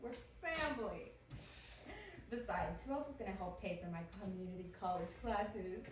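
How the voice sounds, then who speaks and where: A woman's voice: medium pitch, moderate pace, close to an everyday voice. Sardonic young niece in an echoey room, read by a woman. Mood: sly. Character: sarcastic young college-student niece, bored and scheming. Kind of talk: animated comedy table read. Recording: echoey room, distant mic, faint noise.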